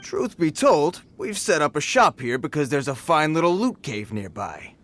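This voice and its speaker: A man's voice: a little high, big pitch swings, normal speed, fairly bright tone, faint music behind. A man voicing a streetwise bandit thug in a video game, taunting and slangy with a swagger. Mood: taunting, amused. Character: mocking, streetwise male bandit thug, rough-mannered.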